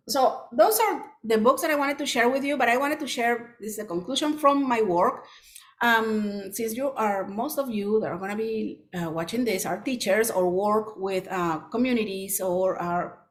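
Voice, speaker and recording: A middle-aged woman children's author from Peru, with a Peruvian Spanish accent, giving a presentation with many pauses and restarts. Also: a little high. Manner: frequent ums and restarts, earnest. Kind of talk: presentation talk.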